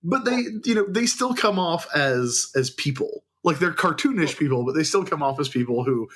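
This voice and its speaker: A man's voice: a little high, expressive, normal speed, fairly bright tone. A man co-hosting a podcast, chatting casually and telling stories with wry enthusiasm. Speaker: podcast co-host. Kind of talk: casual chat.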